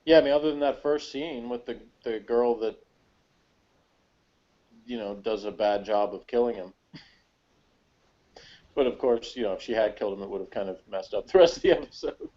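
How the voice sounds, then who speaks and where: A man's voice: on the low side, moderate pace, pauses often, mellow, lo-fi sound. A man chatting casually as a review co-host, rambling and hesitant, with lots of informal filler phrases. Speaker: podcast co-host reviewing a TV episode.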